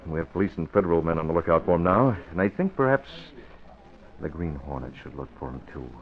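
A man playing a decisive newspaperman and secret crime fighter in an old-time radio drama, firm and deliberate. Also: sits low, expressive. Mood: firm, determined. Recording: dull vintage radio recording.

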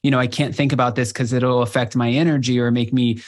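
A man's voice: medium pitch, little pitch movement, fast. A man hosting a podcast interview, asking questions in an enthusiastic, complimentary way. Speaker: podcast host. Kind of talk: casual interview chat.

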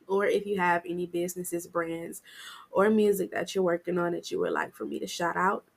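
A woman's voice: on the low side, lively intonation, moderate pace, creaky. Chatty woman podcast host with a cold. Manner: chatty, slangy, upbeat address to listeners. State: getting over a cold. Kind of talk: casual TV recap podcast.

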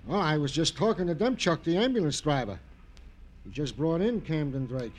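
An actor playing an eager, chummy pal with street news, breezy and colloquial, in old radio drama style. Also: male voice, fairly high pitch, animated, normal speed. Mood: earnest, newsy. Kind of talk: 1950s radio crime drama acting.

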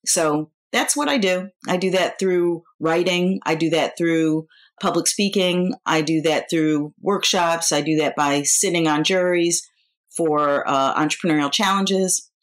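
A woman's voice: lowish voice, measured pace. An American woman in her fifties from New York, talking as a guest speaker in a casual, conversational interview. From New York, United States. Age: 50s.

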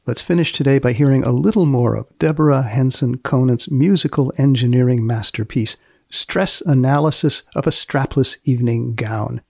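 A man hosting a podcast with deliberate, measured phrasing, moving between scripted narration and interview questions. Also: medium pitch, vocal fry, a little mellow, breathy edge, no echo, clean studio sound. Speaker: podcast host.

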